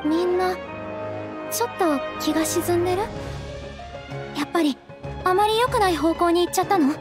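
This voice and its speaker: An actress playing a refined, caring young noble lady in a game, with polite, softly feminine phrasing. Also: very high voice, normal speed, music in the background. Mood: concerned. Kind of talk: Japanese game voice acting.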